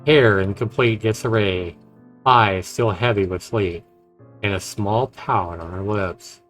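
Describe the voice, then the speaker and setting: Low-pitched man streaming a game. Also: slow, a bit bright. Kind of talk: reading visual novel narration aloud with casual commentary. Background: game music.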